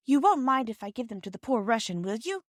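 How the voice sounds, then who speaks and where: An eager little storybook girl, played by a woman reader, speaks high and earnest. She sounds candid, in a dry, close recording. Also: sing-song, fast talker, dry recording. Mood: hopeful.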